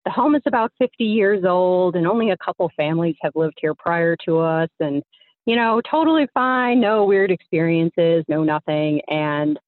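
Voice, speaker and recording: Tinny phone-line caller: young American woman, slightly low voice, chatty, hesitant storyteller. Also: animated, moderate pace, no echo. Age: young adult. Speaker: phone-in guest. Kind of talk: casual personal storytelling. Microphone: phone call.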